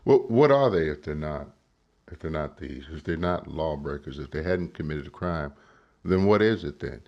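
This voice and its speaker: Male commentator, low gravelly voice, lively delivery, dull lo-fi sound. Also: normal speed. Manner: emphatic, asks rhetorical questions, says "right?" often. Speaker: political commentator. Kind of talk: reaction commentary on a clip.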